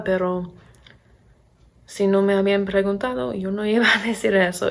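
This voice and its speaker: A young American woman with an American accent, speaking as a second-language learner in a casual vlog, halting and searching for words. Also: medium pitch, vocal fry. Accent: American English accent in Spanish. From Pennsylvania, USA. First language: English. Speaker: vlogger and English teacher. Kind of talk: casual chat to camera.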